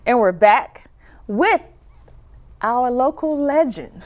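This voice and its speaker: A woman's voice: a little high, expressive, takes it slowly, a little mellow, soft background music. A woman hosting a TV studio interview, introducing her guest and giving short affirming replies, on a lapel mic. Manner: brief affirming backchannels. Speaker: talk show host. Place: tv studio set.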